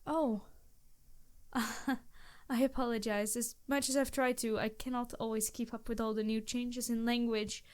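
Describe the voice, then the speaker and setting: Casting: woman as ancient female vampire, embarrassed and courteous, old-fashioned phrasing, fairly high voice, intimate audio roleplay. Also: flat delivery, measured pace, a little mellow, clean tone, close to an everyday voice. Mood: tender, hopeful. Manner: formal, old-fashioned wording, hesitant, apologetic. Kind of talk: intimate scripted roleplay addressed to the listener.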